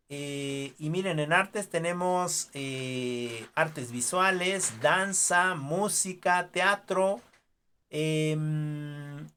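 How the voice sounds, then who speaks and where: A teacher with a Mexican accent gives an online class lecture over a video call, pausing often on fillers and checking in with frequent tag questions. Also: mid-range voice, a bit bright.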